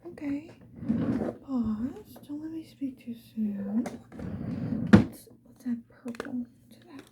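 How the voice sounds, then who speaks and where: A woman whispering breathily in a casual, unhurried ASMR get-ready-with-me chat, with self-deprecating murmured asides. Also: high voice, expressive. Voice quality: breathy whisper, mostly unvoiced. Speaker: ASMR video creator. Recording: dull, muffled sound with faint noise.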